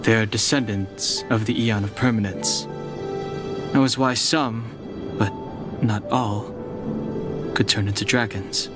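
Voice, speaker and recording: A man voicing a taciturn, solemn warrior who recounts ancient lore in a video game, terse and measured. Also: fairly low pitch, fairly even intonation, lightly acted. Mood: solemn, grave. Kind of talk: scripted video game dialogue. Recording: clear eerie background music under the voice. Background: game music.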